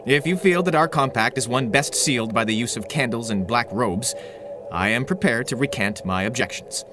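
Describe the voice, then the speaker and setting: A man's voice: mid-range voice, moderate pace, background noise, close to an everyday voice. An actor playing a haughty, pompous criminal genius in a 1930s-style radio serial, disdainful and ornate in his diction.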